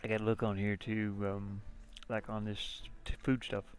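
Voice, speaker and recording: A man with a creaky, gravelly voice giving casual, rambling commentary as a game developer showing his work, often muttering to himself and repeating words. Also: lowish voice, monotone, moderate pace, soft background music.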